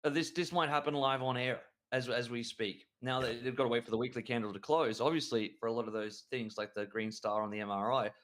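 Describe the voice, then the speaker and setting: A man co-hosting a trading show, talking excitedly and rambling through chart analysis with frequent restarts. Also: mid-range voice, a little fast, hardly any pauses. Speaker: crypto trading show co-host. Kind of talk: enthusiastic market analysis chat.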